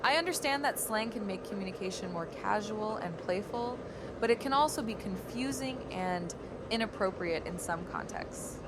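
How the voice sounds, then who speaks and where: A woman's voice: medium pitch, animated, normal speed, very noisy. A woman's voice reading chat requests and stiff, formal replies, repeating the same odd word of address. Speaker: chat-command voice reading messages.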